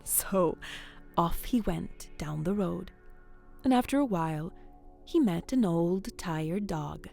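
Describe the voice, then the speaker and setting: Slow, gravelly-voiced woman storyteller. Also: on the low side, animated, soft background music. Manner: soft, soothing, often whispered. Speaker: storyteller and host. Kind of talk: bedtime story and relaxation guide.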